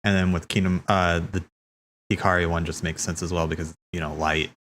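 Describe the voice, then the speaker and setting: A man's voice: low-pitched, level tone, brisk, a bit bright, slight background noise. A man hosting a livestream, talking in a casual, slangy, rambling way as he thinks aloud. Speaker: livestream host. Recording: background music.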